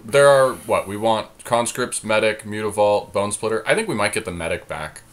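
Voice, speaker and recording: A man co-hosting a podcast, chatting casually through a card draft and thinking out loud. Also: on the low side, expressive. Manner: thinks aloud, trails off, quick asides. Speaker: podcast co-host.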